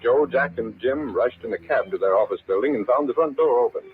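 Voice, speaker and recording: An actor narrating a crime adventure serial in 1940s radio style. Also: male voice, medium pitch, brisk, close to an everyday voice. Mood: storytelling. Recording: scratchy, dull old-time radio broadcast.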